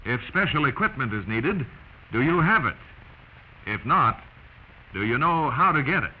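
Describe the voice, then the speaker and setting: An American man reading scripted instructional narration in the deliberate manner of a 1940s training film. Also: medium pitch, sing-song. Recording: dull noisy 1940s film soundtrack.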